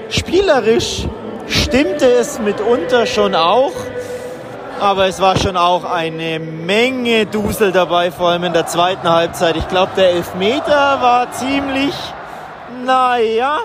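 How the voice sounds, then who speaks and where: A man's voice: high-pitched, takes it slowly, over music. A man giving a live match report outdoors from a football stadium, his voice raised over the din. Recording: loud stadium crowd noise. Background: stadium crowd.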